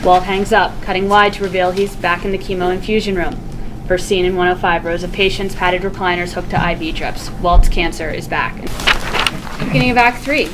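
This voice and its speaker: A woman's voice: lowish voice, steady tone, fairly quick, noisy background. A woman reading stage directions from a screenplay as scripted narration.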